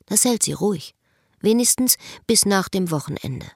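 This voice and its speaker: A woman acting as a reflective schoolteacher, telling her own story in measured, literary radio drama monologue. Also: mid-range voice, animated, no room sound, studio quality. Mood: wry.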